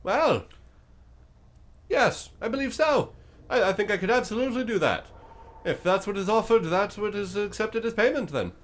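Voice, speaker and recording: A man's voice: sits high, lively intonation, moderate pace, pauses often, voice pushed up. A man voicing a chatty, offhand undead oracle in a tabletop game, fussy and breezy, with hesitating interjections. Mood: chirpy, obliging. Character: chatty, offhand undead oracle of bone and rock, high-voiced.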